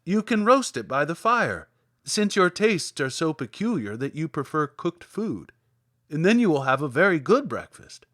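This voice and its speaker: Man acting big cowardly lion, lively and loud. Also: on the high side, normal speed, no echo, studio quality. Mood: offering, confident. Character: cowardly lion, big shaggy beast, earnest and obliging.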